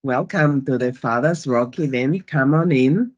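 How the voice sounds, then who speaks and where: A woman's voice: very deep voice, measured pace, a little mellow, lo-fi sound. A woman with an Austrian German accent moderating an online event on a video call, upbeat and welcoming as she greets the audience.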